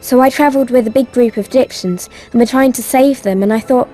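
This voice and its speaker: A woman's voice: on the high side, fast talker, dark tone, faint music behind, lightly acted. A woman playing a bold, eager young girl adventurer in a video game, chatty and earnest. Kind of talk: video game cutscene acting.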